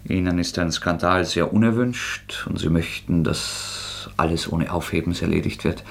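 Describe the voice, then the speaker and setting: An actor playing a proud, bitter young student murderer in a radio play, with an intense, sardonic, defiant delivery. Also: male voice, low voice, normal speed, creaky. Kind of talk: German radio play acting.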